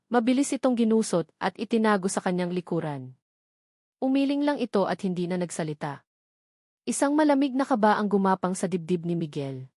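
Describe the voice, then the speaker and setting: A woman reading a romantic drama aloud, in a steady, measured way with dramatic pauses. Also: medium pitch, dry recording, studio quality. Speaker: narrator. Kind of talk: scripted storytelling narration.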